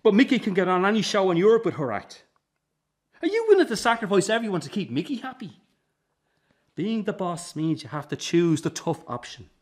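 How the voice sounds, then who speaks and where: An actor playing a driven, frustrated middle-aged son with an Irish accent, in a naturalistic radio drama. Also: male voice, high-pitched, sing-song, fairly quick. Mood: frustrated, forceful. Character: driven, frustrated son running the struggling family circus.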